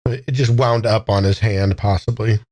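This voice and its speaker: A man's voice: on the low side, steady tone, normal speed, darkish tone, dry recording. A man casually playing a tabletop roleplaying game, thinking aloud in a tentative way.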